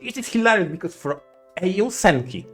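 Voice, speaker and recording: A man's voice: sits high, big pitch swings, normal speed, a bit bright, husky, faint music behind. A man co-hosting a podcast in casual banter, giving opinions in an emphatic, playfully stubborn way. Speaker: podcast co-host.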